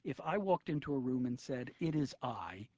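A man's voice: mid-range voice, big pitch swings, normal speed, darkish tone, no echo. A man in his sixties, an English professor who is a guest on a radio call-in show, explaining at length with frequent hesitations. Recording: slightly muffled radio broadcast.

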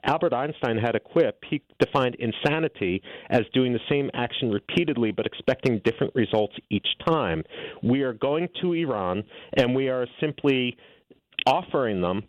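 A man's voice: medium pitch, animated, moderate pace, no echo. Assertive man on a tinny line. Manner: fluent, assertive, argues point by point. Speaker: guest author and policy analyst. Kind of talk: interview answers, policy argument.

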